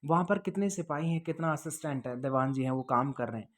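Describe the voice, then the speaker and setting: A man's voice: a little high, quick pace, slight background noise. A man answering viewers' questions in a casual, advising way, often checking that the listener follows. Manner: conversational, advising, often checks with "okay?" and "understood?". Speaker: host answering viewers' questions about police jobs. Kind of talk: casual explanatory Q&A to camera.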